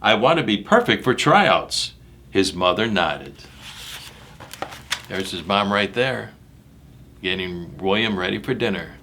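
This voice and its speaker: A man's voice: lowish voice, bright, forceful tone, slight background noise. A man reading a picture book aloud to children in a dramatic, emphatic way, speaking directly to his young listeners. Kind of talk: animated read-aloud with asides about the pictures.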